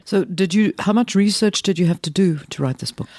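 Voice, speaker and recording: A woman's voice: medium pitch, a little fast, darkish tone, no room sound, clean studio sound. A woman hosting a podcast interview, asking curious questions and slipping Afrikaans words into her English.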